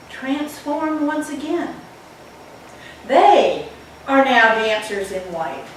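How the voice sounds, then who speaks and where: A woman minister reads poetry aloud at a slow pace in a church talk, her voice on the higher side. She phrases each line deliberately and dramatically, leaving pauses. The sound is heavily reverberant, as in a large sanctuary, and picked up from a distance. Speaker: minister reading a poem to a congregation. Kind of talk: expressive poetry reading within a church talk. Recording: very echoey hall, distant mic, faint noise.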